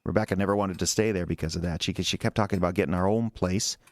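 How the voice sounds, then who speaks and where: An actor in an audio drama playing a resentful, defensive husband who stammers and rambles in a halting, wounded way. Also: male voice, low-pitched, fairly even intonation, no room sound. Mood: brooding, resentful. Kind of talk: naturalistic audio drama acting.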